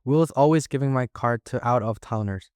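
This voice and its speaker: A man voicing a friendly, loud charter boat owner who talks in an easygoing, chatty way. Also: medium pitch, normal speed, smooth, no room sound, clean studio sound, close to an everyday voice. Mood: upbeat.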